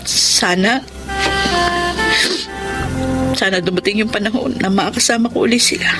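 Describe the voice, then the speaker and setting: A woman's voice: mid-range voice, moderate pace, loud music behind the voice, lightly acted, poor audio. A woman playing a remorseful, sorrowful mother in a radio drama, subdued, earnest and pleading. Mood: sorrowful resolve. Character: remorseful, sorrowful mother leaving troubled past. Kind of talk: melodramatic radio drama acting.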